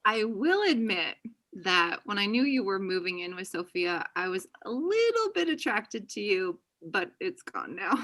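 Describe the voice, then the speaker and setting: A woman's voice: mid-range voice, big pitch swings, a little slow, a bit bright. A woman in her thirties playing a blunt, chatty female friend in a casual table read over a video call. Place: indoor room on a video call.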